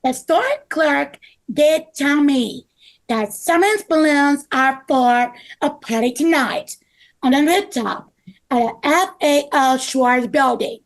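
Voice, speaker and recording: An eager cartoon rescue pup played by a woman, with a very high voice and slow, drawn-out phrasing. The delivery is theatrical and earnest, as in a children's script read aloud. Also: poor audio.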